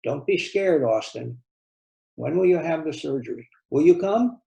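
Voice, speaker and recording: A man voicing a caring, worried woman who gently reassures a close friend in a read-aloud story. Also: a little high, lively intonation, measured pace, creaky, pitched above the performer's own voice. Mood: concerned, reassuring.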